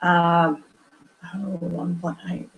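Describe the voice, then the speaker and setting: A woman co-hosting a casual movie review chat, an animated storyteller with a loud, well-projected voice. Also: on the low side, slow, muffled recording. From former record store worker. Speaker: podcast co-host.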